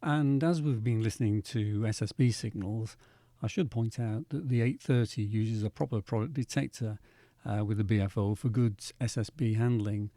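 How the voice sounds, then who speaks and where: A man with a soft-edged, mellow voice presents a technical walkthrough at medium pitch. He explains step by step in a measured, methodical manner. The sound is dry and close, with no room around it. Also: no room sound. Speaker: presenter demonstrating vintage radio equipment. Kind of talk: instructional demonstration narration.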